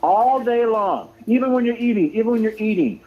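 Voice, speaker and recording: Phone-like voice of a high-pitched, combative man. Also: lively intonation, fairly quick. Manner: combative, insulting, repeats himself insistently. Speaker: caller to a livestream show. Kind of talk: argumentative live phone-in banter. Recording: tinny phone-like livestream call.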